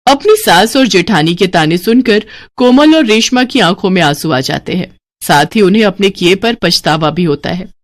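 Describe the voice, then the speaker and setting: A woman's voice: medium pitch, expressive, normal speed. A woman narrating a scripted moral story as the storyteller.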